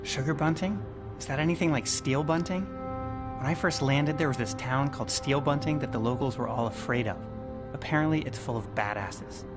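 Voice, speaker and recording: An actor playing an anxious, earnest spaceship survivor in a video game, with a dry conversational delivery. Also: male voice, mid-range voice, fairly quick, close to an everyday voice. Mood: matter-of-fact. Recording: game music behind the voice. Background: game background music.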